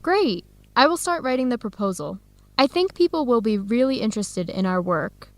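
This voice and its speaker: A friendly young American researcher in a radio drama. Her voice is fairly high and recorded very dry, and she explains things clearly and encouragingly. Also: expressive, moderate pace, no echo. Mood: enthusiastic. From United States. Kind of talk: scripted educational radio drama dialogue.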